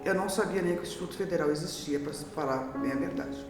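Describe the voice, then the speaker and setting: A woman's voice: deep voice, quick pace. Southern Brazilian woman, very echoey sound. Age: 50s. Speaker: adult student interviewee. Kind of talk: casual interview. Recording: very echoey hall, clear music underneath.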